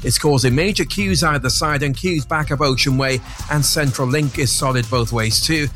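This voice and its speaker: A man reading a radio traffic report in a brisk, matter-of-fact way. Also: medium pitch, a bit bright, faint music behind. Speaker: travel reporter.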